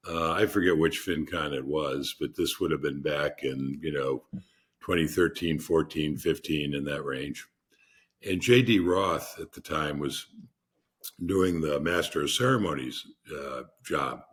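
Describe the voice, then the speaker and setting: A man with a deep voice, a podcast guest telling rambling anecdotes in a casual interview, often restarting his sentences. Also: measured pace, fairly bright tone, husky.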